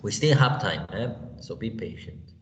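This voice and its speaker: A man's voice: fairly low pitch, animated, normal speed. A man with a Mexican accent teaching an online class, chatty and loose, full of fillers and "you know". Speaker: English teacher. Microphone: laptop on a video call. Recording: echoey, dull, lo-fi, faint noise.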